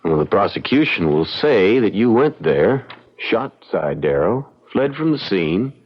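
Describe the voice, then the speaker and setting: An actor playing a calm young frontier lawyer, measured, folksy and probing, in an old-time radio drama. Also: male voice, lowish voice, husky, heavily acted. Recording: dull lo-fi radio drama recording.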